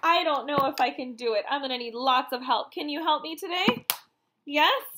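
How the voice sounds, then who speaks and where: A woman in her thirties reading a picture book aloud to young children as a counting lesson, in an animated, wondering manner full of questions. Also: sits high, moderate pace, background noise.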